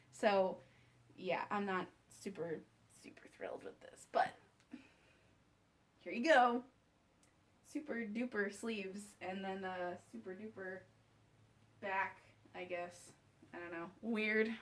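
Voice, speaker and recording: A woman vlogger giving a casual, unscripted review to camera, candid and wry, with conversational asides. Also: medium pitch.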